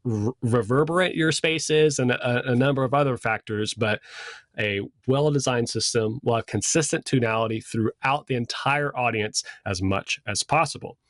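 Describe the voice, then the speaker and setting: A man's voice: mid-range voice, normal speed, a bit bright, no room sound. Man explaining casually, dry close sound. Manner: conversational, informal. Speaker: sound system design instructor. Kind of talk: casual explanatory lesson with slides.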